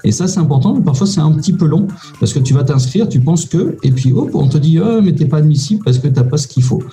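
A man talks through casual advice, stopping often on fillers. His voice is very dark and mellow. Faint music plays behind him. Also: fairly high pitch, expressive, quick pace. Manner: hesitant, many "euh" fillers, informal. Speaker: immigration consultant guest.

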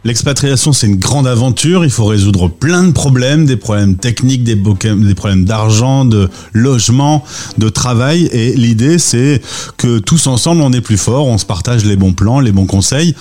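A man's voice: mid-range voice, moderate pace, dark tone. Upbeat radio host man. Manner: chatty, upbeat interviewer. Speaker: podcast host. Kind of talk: radio interview. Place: radio studio.

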